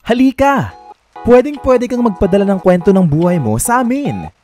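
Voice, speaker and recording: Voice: medium pitch, sing-song, moderate pace, warm tone, soft background music. An announcer with a Filipino accent reading an upbeat scripted radio promo.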